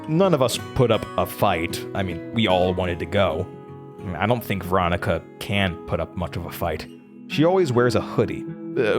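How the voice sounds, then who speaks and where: Audio drama lead: weary young man male actor, low register, dry and candid delivery, quietly defiant, with prominent guitar music underneath. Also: lightly acted. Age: young adult. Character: weary, defiant young male college student haunted by his past.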